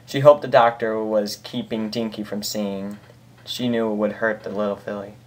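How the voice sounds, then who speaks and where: Casual amateur story reader, medium pitch. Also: little pitch movement, moderate pace. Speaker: fan fiction reader and host.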